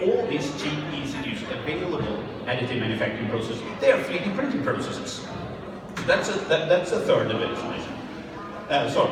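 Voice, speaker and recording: A man with a Swedish accent giving a technical lecture, speaking haltingly with many fillers and restarts. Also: medium pitch. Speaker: conference speaker. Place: lecture hall. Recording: roomy hall, distant mic, background noise.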